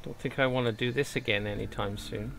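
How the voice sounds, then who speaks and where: A man's voice: medium pitch, fairly even intonation, fairly quick, fairly bright tone, soft background music. A man streaming a video game, giving casual chat and commentary to his crewmates. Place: indoor room at home.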